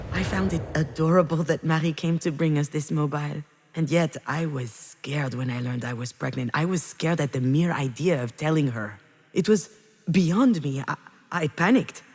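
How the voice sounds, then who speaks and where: A reflective, grieving mother speaks in a low-pitched voice that rings with long reverb. Her delivery is introspective and measured, a confessional first-person monologue from a narrative video game. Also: a little mellow, slightly rough, full character voice. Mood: tender, fragile, then anxious confession. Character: reflective grieving mother, a screenwriter, recalling memories. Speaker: narrating protagonist of a visual novel. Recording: long reverberant hall echo.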